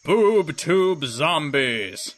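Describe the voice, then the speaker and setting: A man performs an earnest, trigger-happy and none-too-bright soldier in a comedy audio drama. He speaks slowly and self-importantly, somewhat high, with a lot of rise and fall. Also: very animated, exaggerated voice. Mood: puzzled. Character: slow-witted, trigger-happy male soldier, earnest and pompous.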